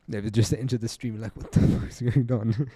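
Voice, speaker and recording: Laid-back South African man, mellow voice. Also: medium pitch, breathy edge, no room sound. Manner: laid-back, joking, laughs often, slangy. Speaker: livestream trading host. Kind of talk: casual live-stream chat.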